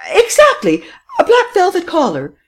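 A woman reading an audiobook voices a wealthy, theatrical lady who gasps out breathless, high exclamations. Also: sing-song, moderate pace. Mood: insistent. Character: rich frightened lady, excitable and theatrical.

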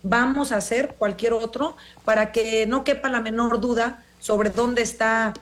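A middle-aged woman with a Mexican accent and a fairly high voice answers like a politician being interviewed. She is formal and emphatic, repeats her arguments and addresses the interviewer directly. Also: steady tone, a little slow. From born in Los Angeles, Mexican.

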